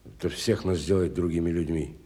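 An actor playing a wealthy, educated, bitter industrialist in a radio stage drama, theatrical and musing, moving between soft and emphatic speech. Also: male voice, sits low, fairly even intonation, a little fast, lightly acted. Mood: earnest, impassioned.

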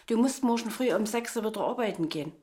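A woman's voice: a little high, animated, fast, dark tone. A middle-aged woman giving a spontaneous personal account as an interviewee, earnest and insistent.

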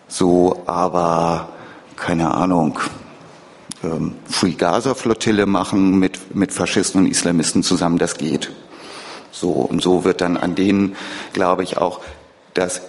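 A man giving an informal political talk in a halting way, thinking aloud as he goes. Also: low-pitched, darkish tone. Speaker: speaker at a public lecture. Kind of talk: informal spoken political lecture answer. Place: reverberant lecture room or hall. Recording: echoey hall recording of live talk.

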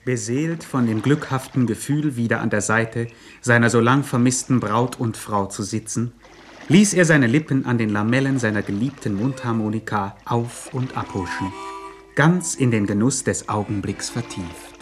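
Ironically formal man, mid-pitched narrator. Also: normal speed, music in the background. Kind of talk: scripted radio satire narration.

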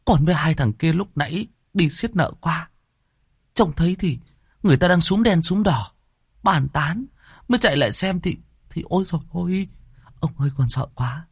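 A man voicing a frightened young male servant who hurriedly reports bad news in a high, pleading voice. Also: lively intonation, normal speed, vocal fry, husky, no room sound, studio quality, heavily acted.

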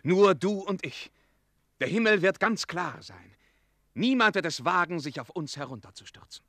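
An actor playing an ardent, tender knightly hero in a radio play, earnest and romantic. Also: male voice, sits high, animated, normal speed, no echo. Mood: tender, yearning. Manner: earnest, romantic, swinging from hushed to declamatory. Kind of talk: German radio play acting.

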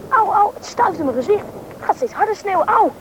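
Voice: very high voice, animated, a little fast, fairly bright tone. A performer playing a stubborn, carefree young boy with a questioning, whiny manner in a radio drama. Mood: distressed. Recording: noisy old radio play recording.

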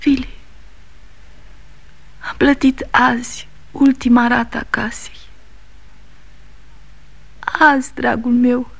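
An actress playing a grieving middle-aged widow in a 1960s radio drama, speaking in a hushed, breathy whisper. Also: female voice, on the high side, flat delivery, long pauses, full character voice.

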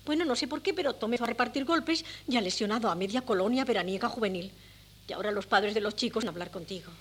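A woman's voice: fairly high pitch, fast, slight background noise, natural voice. An actress playing a fretful, doting middle-aged mother, melodramatic and fussing, in 1970s radio theatre. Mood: worried.

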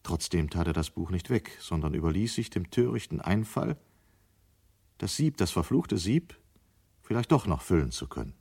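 A man with a deep voice narrates a radio drama. He reads scripted literary prose as a storyteller. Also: sing-song, normal speed, vocal fry, darkish tone, slightly rough.

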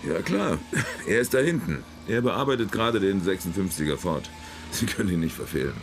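An easygoing garage boss with a very low, gravelly voice. His delivery is affable and lively in pitch, in radio crime drama style. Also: brisk, background noise.

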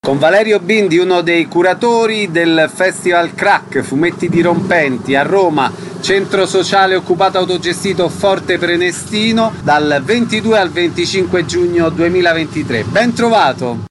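A man's voice: sits high, moderate pace, noisy background. A man hosting a radio show, announcing items and putting questions to a guest. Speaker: radio host.

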